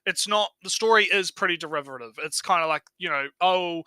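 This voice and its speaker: A man's voice: sits high, fairly quick, pushed and bright, smooth, no echo. A man giving casual, unscripted stream commentary, rambling and swearing, often tagging his sentences with "right?". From outside the United States.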